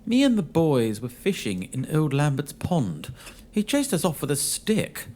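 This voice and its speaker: Melancholy English reverend for radio play, played by a man: gentle, unhurried delivery with lilting variation. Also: on the high side, huge pitch swings. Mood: wistful. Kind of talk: audio drama acting.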